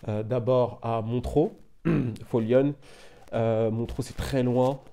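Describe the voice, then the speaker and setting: Young French man, interview guest, easygoing and rambling, mellow low-brightness tone. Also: slow pace, slight background noise. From France. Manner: relaxed, meandering, frequent "euh" and "tu vois". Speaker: interview guest, media founder. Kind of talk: casual conversational interview.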